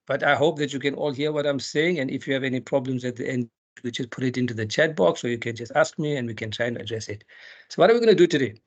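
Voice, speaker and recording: A man's voice: mid-range voice, fast talker, highs cut off. A middle-aged man from South Africa, a dental researcher, giving a chatty webinar lecture full of rhetorical questions and light jokes. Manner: chatty, jokey teacher, asks rhetorical questions.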